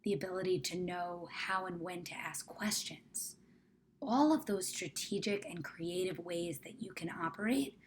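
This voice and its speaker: A woman leading a guided meditation in a measured, gentle, often hushed way, as a soothing instructor. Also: lowish voice, slight background noise. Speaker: meditation guide, company founder.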